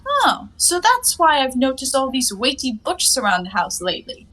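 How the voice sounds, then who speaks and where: Casting: high-pitched young woman, flippant and teasing, lofty airs, twenties stage-comedy delivery. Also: wildly swooping pitch, normal speed, fairly bright tone, heavily acted. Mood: flippant, carefree. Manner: airy, teasing, mock-grand phrasing. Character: playful, cheeky, carefree young woman lounging in her bath. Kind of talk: theatrical 1920s stage comedy acting.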